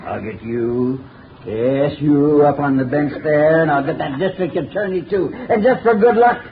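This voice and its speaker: A man's voice: normal speed, lightly acted. A man playing a condemned murderer who threatens the court, in 1930s radio drama style. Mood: defiant. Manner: snarling threats, swinging from low growl to shouting. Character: condemned male murderer, menacing, vengeful. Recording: muffled noisy 1930s radio recording.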